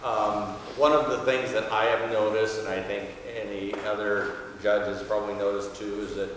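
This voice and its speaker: Man, 60s, magistrate making introductions. Lowish voice, halting delivery, heard from a distance in a big reverberant room. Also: flat delivery, a bit bright. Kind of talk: informal welcome speech. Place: wood-paneled courtroom. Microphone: podium mic, standing back from it. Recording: very echoey hall, distant mic, faint noise.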